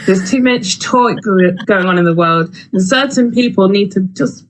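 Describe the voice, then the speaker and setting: Mid-pitched woman co-hosting. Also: normal speed, low-quality recording. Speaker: co-host. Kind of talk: casual live event chat. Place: at home on a video call.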